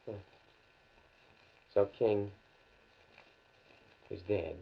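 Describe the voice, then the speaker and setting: A dazed young professor in an old radio play. He speaks slowly and haltingly, groggy and uneasy. The recording is thin and tinny, like an antique broadcast. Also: on the low side, steady tone, creaky, heavily acted. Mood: uneasy relief. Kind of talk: 1940s radio drama acting.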